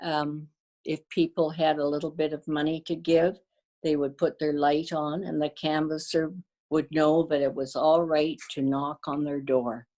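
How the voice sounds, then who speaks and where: Low-voiced older woman reminiscing. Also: level tone. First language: Danish. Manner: warm storyteller, halting with fillers and restarts. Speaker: guest. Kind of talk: casual reminiscing interview.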